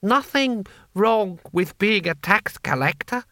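A man voicing a flustered, pompous imperial tax official in a slow, stiff, croaking manner for an audiobook. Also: high voice, lively intonation, sharp tone, no echo, studio quality, pitched above the performer's own voice, full character voice. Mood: nervous.

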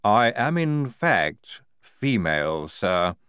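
A man's voice: fairly low pitch, big pitch swings, unhurried, no room sound. Female Igor surgeon, done by a male reader: matter-of-fact, proud, deferential, dry recording. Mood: proud, frank.

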